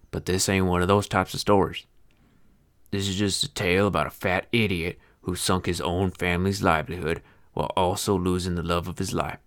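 A man voicing a bitter, foul-mouthed old male feed seller in his sixties, telling his tale with a deep voice, an old-time American Western twang and a sardonic, grumbling manner. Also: a bit bright, no echo, studio quality. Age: 60s. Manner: grumbling, sardonic, swears freely. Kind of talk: first-person storytelling narration.

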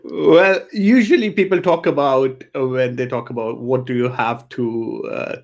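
A man's voice: medium pitch, measured pace, vocal fry. A man from India co-hosting a podcast in casual remote chat, thoughtful and hesitant, with many fillers. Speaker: podcast co-host. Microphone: remote recording setup.

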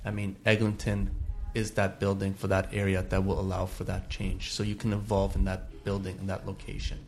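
A young adult man, a guest on a podcast, speaking in a flat, matter-of-fact way. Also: sits low, moderate pace, vocal fry, soft background music.

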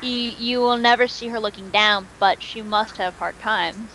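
A woman's voice: medium pitch, steady tone, moderate pace, fairly bright tone, rough recording. A young woman co-hosting a podcast in casual, conversational chat. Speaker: podcast co-host. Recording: clear background noise.

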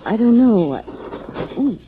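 An anxious lady in a 1940s radio thriller. She is refined and fretful, her pitch leaping dramatically. The aged recording is muffled with faint hiss. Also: lively intonation, measured pace. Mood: pained, fretful.

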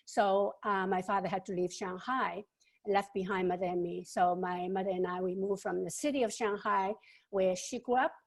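A woman, giving an interview answer about her life. She speaks haltingly, with many fillers and repeated words. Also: mid-range voice, fairly even intonation. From China. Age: 70s.

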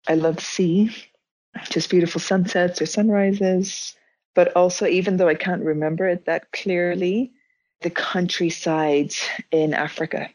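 A young woman with a lowish voice tells stories from her own life in a relaxed, reflective way. She circles back and restarts her sentences as she talks. The recording is dry and close, with no room sound. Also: measured pace, no echo, low-quality recording. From Zimbabwe. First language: Dutch. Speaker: interviewee. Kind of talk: casual conversation.

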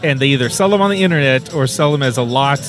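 A man's voice: mid-range voice, animated, fairly bright tone. A man co-hosting a casual chat at a convention, joking and teasing. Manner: joking, quick to laugh, teasing.

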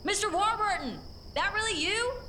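Guy putting on a tough lady stagecoach driver, way high and bright, blunt and folksy. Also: expressive, normal speed, heavily acted. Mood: wary.

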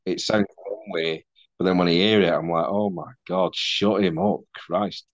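A man in his forties with a Mancunian accent that has worn softer over the years. His voice sits fairly low and sounds dry and close. He chats casually, pokes fun at himself and swears now and then, over a dull, lo-fi video-call line. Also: fairly even intonation, measured pace, no room sound. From Manchester, England. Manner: self-deprecating, chatty, swears casually. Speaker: podcast guest.

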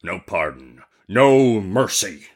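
A man's voice: lowish voice, lively intonation, slow pace, a little mellow. A man voicing a huge, ancient, wrathful genie in a storybook tale, speaking in a menacing, commanding, formal way. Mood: wrathful, stern.

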